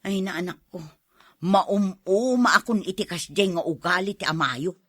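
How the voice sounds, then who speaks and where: A woman's voice: fairly low pitch, moderate pace, a bit bright, no echo, studio quality. A woman playing a weary older Filipino mother and wife in a radio drama. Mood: weary, grumbling. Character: older mother, weary wife venting about her husband.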